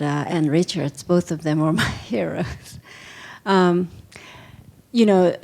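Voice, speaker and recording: A woman's voice: fairly low pitch, vocal fry, a little mellow. A woman from Korea with a Korean accent, asking a question from the audience at a live event.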